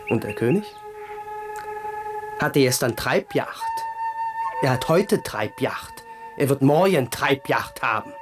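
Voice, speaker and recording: Brief: man as a sarcastic crown prince, mid-pitched, quietly scornful. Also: close to an everyday voice. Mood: brooding. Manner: sarcastic, brooding. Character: young crown prince, bitter and ironic. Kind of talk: 1970s radio play acting.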